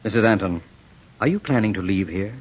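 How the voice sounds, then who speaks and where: An actor playing a calm, commanding detective with a husky voice and assured delivery in a 1940s radio drama. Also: male voice, lowish voice, fairly quick, slight background noise, lightly acted. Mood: earnest, insistent. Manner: assured, insistent. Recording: dull old radio recording.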